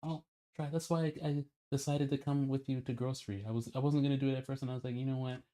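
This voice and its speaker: A man's voice: medium pitch, fairly even intonation, fast, dark tone. A man talking casually and privately in a placating, apologetic manner. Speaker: streamer.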